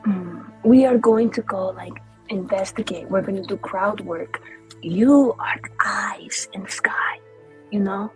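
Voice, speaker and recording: A woman's voice: mid-range voice, unhurried, poor audio. A woman improvising casual, colloquial in-character banter as a player in a tabletop roleplaying game. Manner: colloquial, rambling, jokey. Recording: music playing behind the voice. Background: background music.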